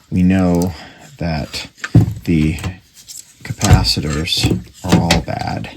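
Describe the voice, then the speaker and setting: A man casually narrating hands-on repair work and thinking aloud in an offhand, matter-of-fact way. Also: low voice. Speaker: radio repair hobbyist and video host. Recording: clearly noisy, with clicks.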